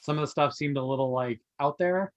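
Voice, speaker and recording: A man's voice: on the high side, brisk, dry recording, highs cut off. A man chatting casually on a podcast panel in an easygoing, offhand way. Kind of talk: casual chat.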